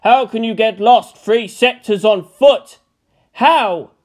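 A woman's voice: on the high side, darkish tone, pitched above the performer's own voice. A woman reading an audiobook, voicing a melodramatic, blubbering warrior man who wails and draws out words. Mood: despairing. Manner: wailing, drawn-out. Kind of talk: audiobook character voice.

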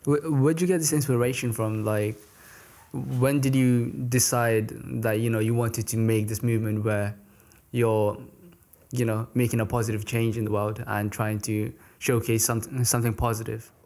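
A man's voice: medium pitch, fairly even intonation, normal speed, darkish tone. A man hosting a podcast, asking a guest questions in a hesitant way with many fillers, in a small studio. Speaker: podcast host. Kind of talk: casual interview.

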